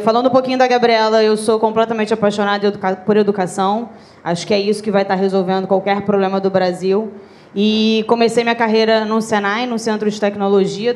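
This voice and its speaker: A woman's voice: medium pitch, darkish tone. A woman with a Carioca accent introducing herself on a conference panel, friendly in manner. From Rio de Janeiro, Brazil.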